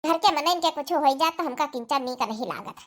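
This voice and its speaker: A woman's voice: sits high, brisk, slight background noise, lightly acted. A woman voicing a devoted, fussing village wife, chatty and reassuring, with an Awadhi accent in a comedy cartoon. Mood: concerned, reassuring.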